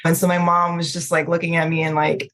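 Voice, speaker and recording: Woman, middle-aged, slightly low pitch, casual conversational podcast guest. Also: completely flat delivery, fast, fairly bright tone. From Trinidad, then Maryland, United States. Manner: informal, frequent "like" and "you know".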